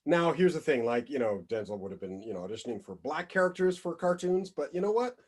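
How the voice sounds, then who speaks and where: Lively-voiced man chatting on a podcast. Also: on the high side, fast. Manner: conversational, hedging with fillers, expressive. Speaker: podcast co-host. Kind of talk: casual chat.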